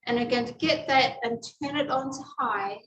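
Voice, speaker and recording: A woman with a New Zealand accent leads a live cooking class, explaining every step as she works. Her voice sits fairly high. It is picked up from a distance in an echoey kitchen with a faint hum behind her. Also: normal speed, a bit bright, highs cut off. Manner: chatty, explains steps as she cooks. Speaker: cooking instructor.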